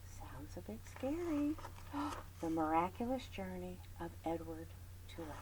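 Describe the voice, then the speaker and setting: A woman librarian reading a children's chapter book aloud, expressive, dropping to whispers and adding chatty asides. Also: lowish voice, a little slow, slight background noise, rough recording. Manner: friendly chatty commentary between passages.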